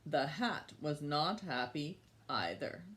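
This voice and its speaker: A woman's voice: deep voice, lively intonation, slow, a bit bright. A woman hosting storytime for young children, talking to them in simple questions and short phrases and reading aloud expressively. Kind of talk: children's storytime, talk and picture-book read-aloud.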